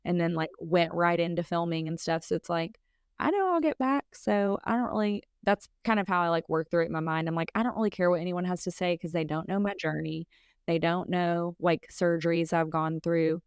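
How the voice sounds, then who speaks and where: A woman's voice: fairly low pitch, fairly even intonation, fairly quick, clear, resonant tone, dry recording, clean studio sound. A woman in her thirties co-hosting a podcast, talking in a candid, casual way with lots of fillers and bits of laughter. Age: 30s. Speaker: podcast co-host. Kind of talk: casual chat.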